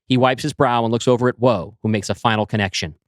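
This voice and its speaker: A man reading a science fiction script aloud as narrator, in an even, descriptive manner. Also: medium pitch, fast talker, no echo, clean studio sound. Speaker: storyteller.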